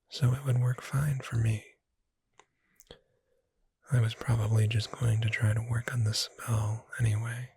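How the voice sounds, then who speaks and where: A gentle witch who keeps a bookshop, acted out by an ASMR performer, whispering very breathily and slowly. The voice is soft and soothing, speaking straight to the listener in a close, dry sound. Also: mid-range voice, creaky, breathy edge, no echo, heavily acted. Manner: slow, gentle, soothing, addressing the listener directly.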